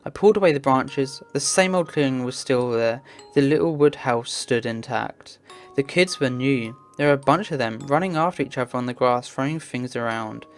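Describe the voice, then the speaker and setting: Low-pitched woman reading a story aloud. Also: normal speed. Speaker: narrator reading a visual novel. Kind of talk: storytelling read-aloud, voicing dialogue. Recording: music behind the voice. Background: music.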